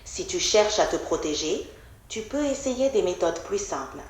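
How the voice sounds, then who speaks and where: A computer-generated woman's voice answering as an AI assistant, measured and polite. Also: on the low side, lively intonation, reverberant, poor audio. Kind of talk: calm scripted assistant replies.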